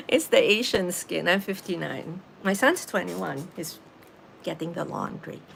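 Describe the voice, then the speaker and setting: A woman's voice: lowish voice, expressive, unhurried, gravelly, a little hiss or hum, poor audio. A Filipino-accented woman in her late fifties, chatting casually with viewers on a live stream, laughing easily and answering the chat. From Philippines. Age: 50s.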